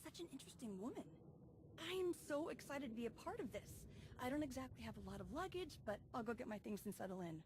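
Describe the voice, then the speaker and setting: A woman's voice: a little high, very animated, fast talker. A woman voicing an eager, idealistic young Jedi in a video game, earnest and wide-eyed in manner. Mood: excited. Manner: enthusiastic, earnest. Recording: noisy game audio capture.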